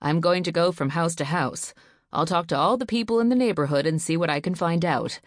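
A woman's voice: fairly low pitch, fairly quick, no room sound, studio quality, lightly acted. A woman voicing a stern, worried father who gives firm orders to his family.

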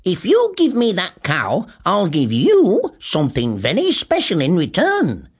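Bloke doing a sly wheedling little old man, high voice, swoopy. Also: wildly swooping pitch, a little slow, breathy edge, heavily acted. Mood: coaxing. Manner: coaxing, wheedling.

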